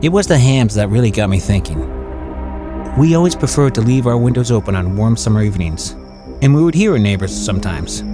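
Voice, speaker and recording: A man's voice: medium pitch, lightly acted. A man playing a middle-aged suburban father who tells a small-town horror story in a folksy, confiding, conversational way. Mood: grave, confiding. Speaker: narrator of an audio horror story. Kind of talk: conversational first-person scripted storytelling. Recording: music bed under the voice. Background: background music.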